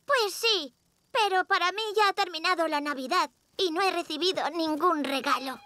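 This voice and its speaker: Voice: very high voice, normal speed, bright, forceful tone. A performer playing a bratty, lonely, theatrical girl villain with a whiny manner in a dubbed children's cartoon. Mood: glum.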